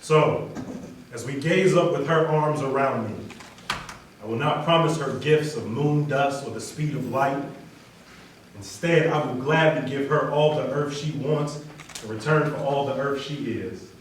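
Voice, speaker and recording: A man's voice: medium pitch, measured pace. Far-off man reciting in an echoey hall. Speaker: wedding reader. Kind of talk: dramatic spoken-word recitation, reader's theater. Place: large echoey room at a wedding. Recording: echoey hall, distant mic, faint noise.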